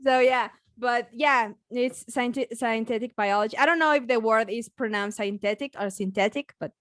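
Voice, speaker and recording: A woman with a Mexican accent, a biologist chatting casually as a podcast guest, halting with many restarts and frequent laughs. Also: on the high side, fairly bright tone, no echo, clean studio sound. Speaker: biologist guest and science communicator.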